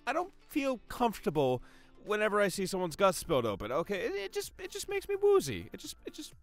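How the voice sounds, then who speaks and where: A man playing a squeamish, flustered partygoer in an audio drama, stammering and defensive. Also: fairly high pitch.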